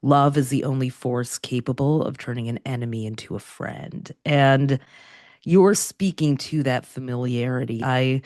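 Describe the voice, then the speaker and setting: A woman's voice: very deep voice, measured pace, a little mellow, no echo. Chatty astrologer woman, dry sound. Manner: enthusiastic, chatty. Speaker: host, astrologer. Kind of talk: conversational interview.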